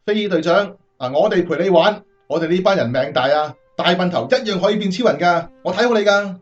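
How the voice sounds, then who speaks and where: A man's voice: sits high, lively intonation, fairly quick, muffled recording, faint music behind. A man acting a cheerful, cocky bomber crewman in a radio drama. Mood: cheerful, boastful.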